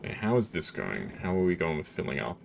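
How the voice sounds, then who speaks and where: A man with a deep voice streaming a game, chatting casually with viewers and thinking aloud as he plays. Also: fast talker, vocal fry, a little hiss or hum. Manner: relaxed, chatty, thinks aloud while reading chat. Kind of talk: casual gameplay chat with viewers.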